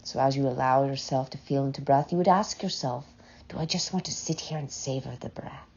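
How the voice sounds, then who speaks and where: A woman leading a guided meditation in a soft, breathy voice, gently and unhurriedly, with long pauses between short phrases. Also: very deep voice. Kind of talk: slow, soothing guided meditation. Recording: dull, muffled lo-fi sound.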